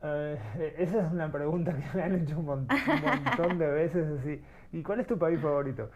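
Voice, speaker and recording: A man's voice: fairly high pitch, animated, normal speed, slight background noise. A middle-aged man born in Uruguay, telling his life story as an interview guest, thoughtful and searching for words. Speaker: guest, artist.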